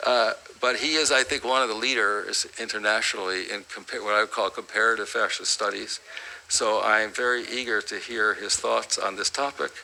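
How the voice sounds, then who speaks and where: A man with a lowish, very bright and forceful voice speaks as the host of an academic event. His delivery is deliberate and opinionated, addressed to a public gathering. There is faint noise behind him. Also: steady tone, creaky, low-quality recording. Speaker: host introducing a guest lecturer.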